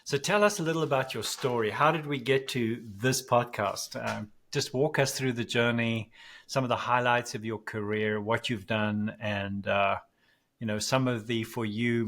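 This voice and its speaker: A man hosting a faith podcast who welcomes his guest with enthusiasm and asks rambling, halting questions. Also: medium pitch, steady tone, a bit bright. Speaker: podcast host. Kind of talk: casual interview hosting.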